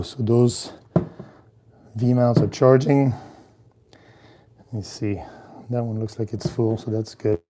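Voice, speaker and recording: Hoarse male vlogger, hesitant and trailing, level low-key voice, dark timbre. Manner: halting, many ums, trailing off. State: losing his voice. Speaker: traveling cinematographer vlogger. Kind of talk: casual vlog talk while unpacking gear. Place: hotel room.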